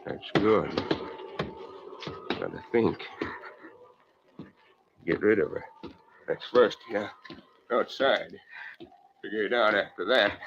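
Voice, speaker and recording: A man's voice: lowish voice, slow pace, long pauses, a bit bright. An actor playing a surly, evasive American farmer and father in an old-time radio drama, muttering terse fragments and barking orders. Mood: panicked, muttering. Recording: muffled, noisy old radio recording.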